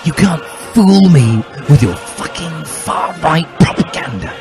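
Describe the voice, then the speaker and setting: A man's voice: a little high, lively intonation, unhurried, pitched above the performer's own voice. Man playing bombastic, indignant teenage revolutionary boy over music. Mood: angry. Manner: bombastic, indignant, shouty declaiming. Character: fervent, self-righteous teenage boy communist revolutionary. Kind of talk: mock-dramatic fan fiction reading. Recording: music behind the voice.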